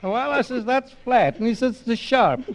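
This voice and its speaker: A man's voice: very high voice, animated, normal speed. An older man, a guest on a 1940s broadcast, giving short answers and telling a plain family anecdote. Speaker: guest, father recalling family stories. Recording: old 1940s broadcast transcription.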